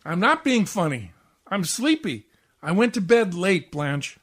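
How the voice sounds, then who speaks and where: Casting: man playing a henpecked 1940s radio-comedy husband, weary and sleepy, exasperated, quick with sarcastic wisecracks. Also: sits high, very animated, a bit bright, breathy edge, full character voice.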